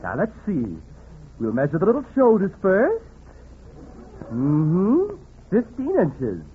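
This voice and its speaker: A man's voice: high-pitched, wildly swooping pitch, slow, narrow, tinny audio, exaggerated voice. A man playing a patronizing, chirpy menswear salesman in 1940s radio comedy, sing-song and condescending. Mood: fussy, condescending. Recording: noisy, thin 1940s radio, audience behind.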